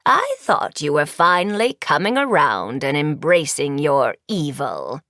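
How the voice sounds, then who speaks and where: An ancient sorceress teacher from a fantasy storybook, with a dry, raspy voice. She drags her words out slowly and scornfully, stern and menacing, often dropping low in pitch. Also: very animated, fairly bright tone, no room sound, exaggerated voice. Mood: disappointed, sly.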